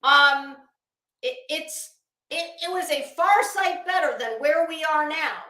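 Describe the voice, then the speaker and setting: Woman, middle-aged, sharp forceful tone, echoey room, casual livestream host, sarcastic, gossipy, rambling commentary. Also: high-pitched, slow pace.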